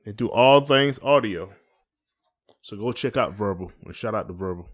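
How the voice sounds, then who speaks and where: A man's voice: lowish voice, lively intonation, moderate pace. A man co-hosting a casual podcast, talking in a folksy, rambling way and repeating his phrases. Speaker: podcast co-host. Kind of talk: casual conversational podcast chat.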